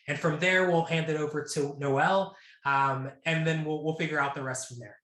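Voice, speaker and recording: Brief casual male panel moderator on a video call, slightly high voice, echoey room. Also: fairly even intonation, moderate pace, a bit bright. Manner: casual, with many fillers and restarts.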